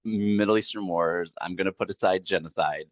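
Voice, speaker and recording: Relaxed candid guest, very low voice, kinda dull dry audio. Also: male voice, moderate pace, dry recording. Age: 40s. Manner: relaxed, candid, laughs easily. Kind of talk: casual podcast interview.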